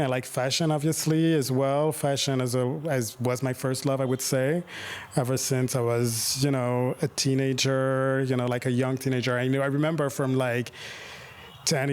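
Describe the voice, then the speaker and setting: A man in his thirties speaks as an interview guest, thoughtful and unhurried. He circles back and restarts his sentences while searching for the right words. His voice sits at an ordinary middle pitch. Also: a little mellow, clear, resonant tone. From Rwanda, raised in Belgium. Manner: reflective, with many fillers and restarts. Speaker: guest, makeup artist and photographer. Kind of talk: casual interview conversation.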